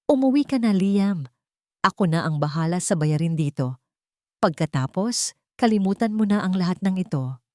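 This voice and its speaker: A woman's voice: fairly low pitch, lively intonation, normal speed, warm tone, no echo, natural voice. Imperious rich mother for drama, played by a woman, older, cold, dry close recording. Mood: cold, angry. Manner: imperious, dismissive.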